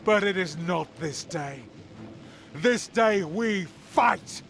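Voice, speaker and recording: A man's voice: high-pitched, lively intonation, slow, a bit bright, a little hiss or hum, full character voice. An actor playing a heroic demigod warrior, delivering a grand, solemn battle speech to his army. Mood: rousing, defiant. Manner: solemn, declamatory.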